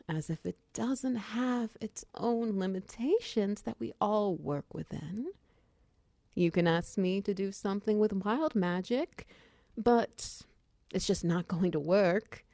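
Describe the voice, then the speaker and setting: Woman playing a chatty, lowish-voiced minotaur shopkeeper wizard. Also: unhurried, mellow, no echo. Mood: wry, exasperated. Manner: chatty, giggly, casual and conversational. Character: friendly, flustered female minotaur potion-shop wizard, bubbly boss. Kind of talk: roleplay ASMR audio drama, addressing the listener.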